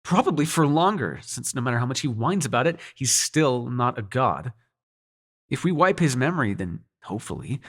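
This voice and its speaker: Male actor as young demon prince for intimate roleplay audio: sarcastic, secretly tender, animated pitch, gravelly edge, casual and sweary. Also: dry recording, clean studio sound. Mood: excited, urgent. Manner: casual, sweary, teasing, hesitant when sincere.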